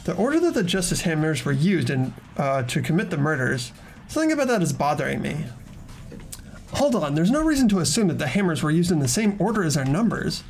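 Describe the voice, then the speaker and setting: A woman playing an earnest, determined teenage investigator in an amateur game dub, expressive in dialogue and inner narration. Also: deep voice, fairly quick, faint music behind. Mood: thoughtful, determined. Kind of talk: scripted amateur visual novel voice acting and inner narration.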